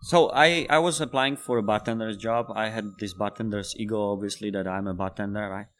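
A man in his thirties from the Czech Republic, a bartender guest in an interview, speaking English as a second language and telling animated stories with laughter. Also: fairly low pitch, fairly quick, a little hiss or hum. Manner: animated storyteller, laughs while telling anecdotes. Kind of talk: casual interview storytelling.

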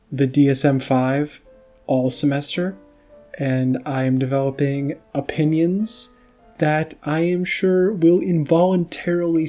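A man's voice: mid-range voice, warm tone. A young adult man hosting a podcast, chatting casually with many fillers. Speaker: podcast host.

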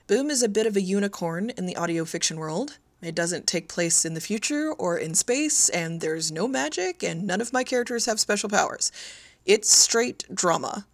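Middle-aged woman, creaky voice, prepared informal narration, very dry close recording. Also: medium pitch, lively intonation, normal speed, dry recording. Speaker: audio drama writer and director. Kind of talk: informal prepared narration about own work.

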